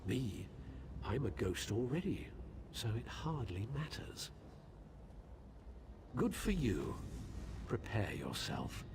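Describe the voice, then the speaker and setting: Scornful game ghost, drawn-out taunting lines, lively pitch. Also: male voice, vocal fry, very noisy, full character voice. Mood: menacing. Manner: sneering, taunting. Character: mocking male ghost foe, scornful and menacing. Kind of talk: game voice acting.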